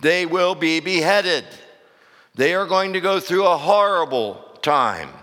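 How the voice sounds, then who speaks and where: Hoarse, hollering preacher man in a church. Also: sits high, animated, measured pace, pushed and bright. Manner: hollering, call-and-response preaching. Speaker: pastor. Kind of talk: fiery sermon. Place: church sanctuary. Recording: long reverb of a church hall.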